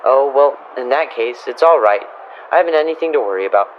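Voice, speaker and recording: A man's voice: medium pitch, animated, quick pace, natural voice. A man playing a naive, flustered teenage boy who stammers and whines in an old-time radio comedy style. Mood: scheming, then dismayed. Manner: whiny, stammering protests. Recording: dull, band-limited, with clear background noise.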